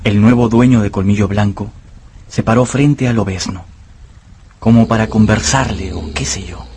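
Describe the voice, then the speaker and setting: A man's voice: on the low side, normal speed, darkish tone, a little hiss or hum. A man giving literary storytelling narration for a radio adaptation of a novel. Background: music.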